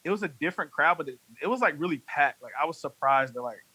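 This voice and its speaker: Bright-voiced young man rambling on a podcast. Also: on the high side, fast, slightly rough, poor audio. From North Carolina. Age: 20s. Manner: rambling, heavy use of "like", animated storytelling. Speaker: podcast guest, music manager. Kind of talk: casual chat.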